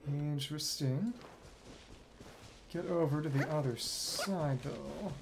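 A man giving casual gameplay commentary as a streamer, thinking aloud in short, halting fragments. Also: a little high, gravelly, noisy background. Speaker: game streamer. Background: video game music.

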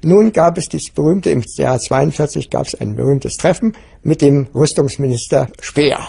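An older man recalls anecdotes in an interview. His voice is slightly high, husky and gravelly, and he speaks haltingly, often correcting himself. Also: dark tone. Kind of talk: spontaneous anecdotal recollection.

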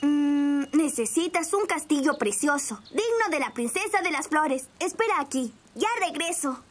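A woman's voice: high-pitched, animated, moderate pace, slight background noise. A woman with a Latin American Spanish accent voicing a cheerful, helpful young cartoon rabbit boy who gives simple drawing instructions. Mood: helpful, encouraging. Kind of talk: children's cartoon dub, step-by-step drawing instruction. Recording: music behind the voice.

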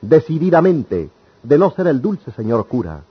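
A man's voice: a little high, sing-song, moderate pace, husky. A man narrating a scripted radio serial in a literary, declamatory manner. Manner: declamatory, dramatic. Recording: dull lo-fi radio sound.

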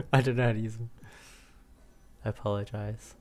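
A man's voice: fairly low pitch, steady tone, normal speed, darkish tone. A young man streaming a game, giving casual reaction commentary close to the mic indoors. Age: young adult. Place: small room indoors. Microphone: close mic, webcam headset setup. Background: game music.

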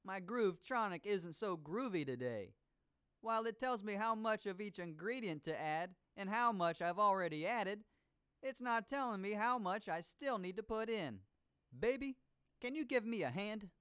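An actor voicing an exuberant, jive-talking male disco cooking show host in an educational video game, with showy drawn-out exclamations. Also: high voice, lively intonation, fairly bright tone, clean tone, dry recording, studio quality. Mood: exuberant, groovy. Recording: disco music behind the voice.

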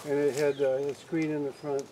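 A man's voice: fairly high pitch, little pitch movement, fairly quick, dark tone, slight background noise, poor audio. An older man giving a casual walking tour of his farm outdoors, talking to a group with many restarts and fillers. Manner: rambling, frequent restarts and fillers. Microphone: camera among a standing group.

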